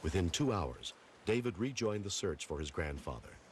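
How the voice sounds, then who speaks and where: A man's voice: low-pitched, animated, normal speed. A man reading scripted narration for a rescue documentary. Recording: loud noise behind narration.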